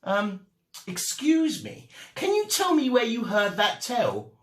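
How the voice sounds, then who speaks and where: A man's voice: extremely high pitch, huge pitch swings, unhurried, fairly bright tone, roomy sound, pitched above the performer's own voice, exaggerated voice. A man voicing a small young male fish in a children's storybook, telling tall tales in an earnest, dramatic way. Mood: curious, polite. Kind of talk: children's storybook read-aloud.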